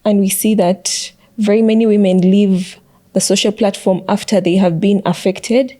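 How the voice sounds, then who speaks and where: A woman's voice: medium pitch, fairly even intonation, normal speed, warm tone. A young woman giving earnest, conversational answers as a student panel guest. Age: young adult.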